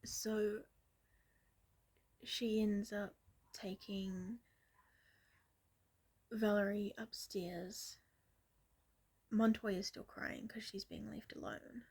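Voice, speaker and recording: A young woman telling a story in a soft ASMR-style whisper, rambling with lots of fillers. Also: medium pitch, steady tone, drawn-out words, pauses often, slightly rough.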